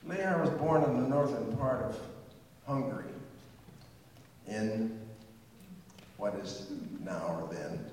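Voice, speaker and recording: A man's voice: medium pitch, sing-song, takes it slowly, pauses often, a little mellow, slight background noise. An older man giving a chatty, digressive music history talk before a concert, with asides and a few hummed bits of melody. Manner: chatty and digressive, sings snatches of tunes. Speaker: pre-concert speaker. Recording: echoey hall from far off.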